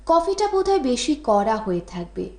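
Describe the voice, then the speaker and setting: A woman reading a literary story as an audiobook narrator, in an expressive storytelling style. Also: on the high side, normal speed. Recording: roomy, echoing voice recording.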